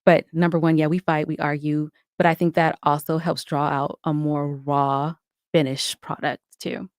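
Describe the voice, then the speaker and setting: A woman's voice: sits low, little pitch movement, normal speed, dry recording, studio quality. A woman giving reflective, articulate answers as a guest in a conversational podcast interview. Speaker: podcast guest, audio drama co-creator and writer.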